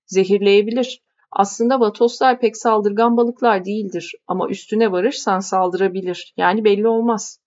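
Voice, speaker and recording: A woman's voice: medium pitch, a little mellow, highs cut off, lightly acted. A woman voicing a friendly, talkative middle-aged village fisherman who explains things patiently. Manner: explains patiently, like reading from an encyclopedia.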